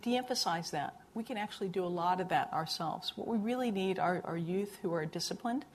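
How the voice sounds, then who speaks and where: A woman's voice: fairly low pitch, lively intonation, fairly quick, slight background noise. A woman answering as a panelist, earnest and thoughtful, often repeating words as she builds her point.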